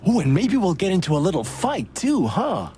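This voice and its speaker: A man's voice: high-pitched, huge pitch swings, moderate pace, dark tone, a little hiss or hum. An actor playing a playful, joking male bird cop in a video game. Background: game music.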